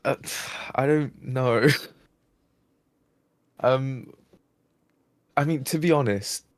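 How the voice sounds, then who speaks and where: A man's voice: medium pitch, extremely slow, no echo. A man co-hosting a live stream, answering in a hesitant, laid-back way with short replies. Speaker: co-host and editor. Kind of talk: casual chat.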